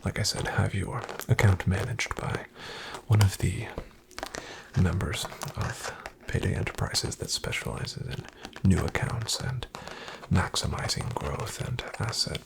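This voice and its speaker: Man playing a whispery, gravelly financial advisor, ASMR. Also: low voice, expressive, heavily acted, low-quality recording. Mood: calm, businesslike. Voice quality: breathy, half-whispered, gravelly fry. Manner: slow, soothing, formally polite. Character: polite, reassuring, smoothly boastful male financial advisor. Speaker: ASMR roleplay performer. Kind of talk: ASMR, soft-spoken roleplay.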